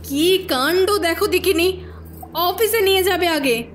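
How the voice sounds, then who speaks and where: A woman's voice: very high voice, unhurried, slight background noise. A woman playing a bossy, exasperated matron of a girls' shelter in a theatrical audio horror story. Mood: fussing, fawning. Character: scolding, scheming older matron of a girls' shelter.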